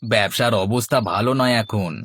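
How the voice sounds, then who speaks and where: A man's voice: on the low side, a little fast, a bit bright, breathy edge. A man voicing a weary, henpecked village husband in a cartoon, with a pleading manner. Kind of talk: Bengali cartoon voice acting.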